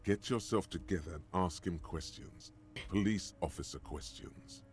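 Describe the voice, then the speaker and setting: A man's voice: sits low, normal speed, darkish tone, close to an everyday voice. A man voicing the grave, taunting inner voice of a detective's mind in a video game.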